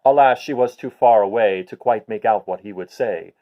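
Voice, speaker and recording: A man reading a rhyming picture book aloud to young listeners in an expressive way. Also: fairly low pitch, moderate pace, dull audio. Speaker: children's story reader.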